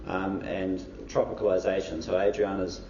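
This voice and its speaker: A low, mellow-voiced man introduces speakers at a university lecture, loose and chatty with plenty of pauses. His voice sounds distant and reverberant, picked up from across a hall on a muffled mic. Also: a little hiss or hum. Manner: relaxed, filler-heavy, casual. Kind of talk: informal event hosting.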